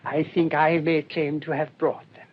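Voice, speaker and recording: An actor playing a mild, shrewd English priest in a radio drama, speaking in a gentle, rambling, politely hesitant way. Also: male voice, little pitch movement, moderate pace, breathy edge. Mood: calm, quietly confident. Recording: dull lo-fi radio recording, rumbling noise.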